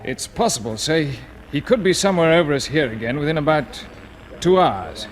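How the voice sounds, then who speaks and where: A keen British rocket captain in a vintage radio serial. He is brisk and dutiful, with a slightly high voice and clipped delivery. The old recording is clearly noisy. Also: big pitch swings. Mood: eager. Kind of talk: 1950s BBC radio drama acting.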